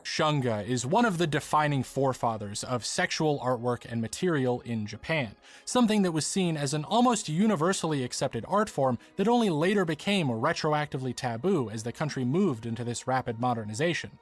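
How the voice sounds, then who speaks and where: A man's voice: mid-range voice, lively intonation, fairly quick, few pauses. A man reading scripted documentary narration for a video essay.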